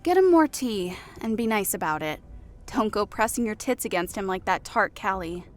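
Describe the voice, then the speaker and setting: A woman's voice: medium pitch, expressive, moderate pace, faint music behind, lightly acted. A woman voicing an older female elf who helps run an inn, shrewd, protective and knowing, in a fantasy audiobook. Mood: firm. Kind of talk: audiobook character acting.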